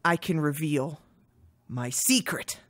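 A woman's voice: sits low, slow pace. A woman voicing an exasperated, melodramatic male duck vampire in a live comic dub parody. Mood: brooding confession. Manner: theatrical, exasperated.

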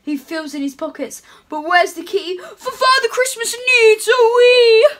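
A young woman playing a jolly, chatty Father Christmas reading a storybook aloud at home, her voice pushed lower in a playful put-on. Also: lively intonation, unhurried, a bit bright, full character voice. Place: home room with curtains.

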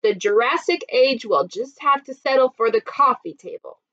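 A woman's voice: on the high side, lively intonation, normal speed, fairly bright tone, no room sound, muffled recording. A woman voicing a good-natured, easily startled father bear in a storybook, with emphatic delivery. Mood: patient, firm. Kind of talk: storybook character voice.

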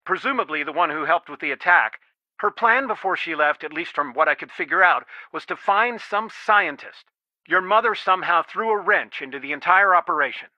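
A man's voice: fairly high pitch, lively intonation, brisk, bright, forceful tone, no room sound. An actor playing a worried, protective middle-aged father in a video game, earnest and pleading, heard through a telephone filter. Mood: guarded, explaining. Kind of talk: naturalistic video game dialogue, one side of a phone call. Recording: dry, band-limited phone-like sound.

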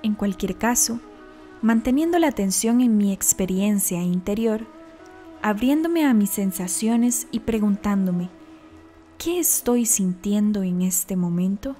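A woman narrating a scripted guided meditation in a calm, deliberate, instructional manner. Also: mid-range voice, faint music behind.

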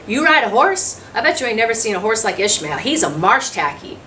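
A woman puts on an excitable storybook farm boy, lifted in pitch. He is blunt and curious, with a country dialect, heard a little away from the mic. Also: a little fast. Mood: eager, curious. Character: eager, curious homesteader boy. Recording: distant mic, noisy room sound.

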